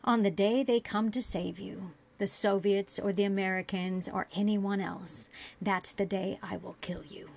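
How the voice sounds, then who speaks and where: Woman voicing a cruel, accusing alien interrogator, gravelly edge. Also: mid-range voice, fairly even intonation, measured pace. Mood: cold menace. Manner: accusing, contemptuous, forceful. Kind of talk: dramatic fiction reading.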